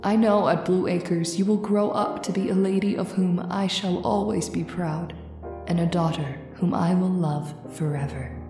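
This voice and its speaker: A woman playing a regretful, resolute mother voicing a farewell letter in an old-time radio drama, measured and formal. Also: on the low side, fairly even intonation, warm tone, echoey room, recorded from a distance, soft background music, natural voice.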